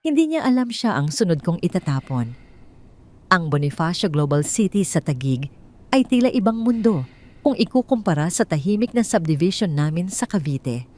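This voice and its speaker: A woman narrating a scripted first-person drama in a measured, bitter, cold way. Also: on the low side, big pitch swings, darkish tone. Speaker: storyteller.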